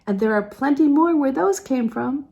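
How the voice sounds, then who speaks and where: Woman reading as a mellow, teasing gentleman. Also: sits high, animated, moderate pace, vocal fry, highs cut off, pitched above the performer's own voice. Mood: playful teasing. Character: teasing, affectionate older man, a singer, in a novel.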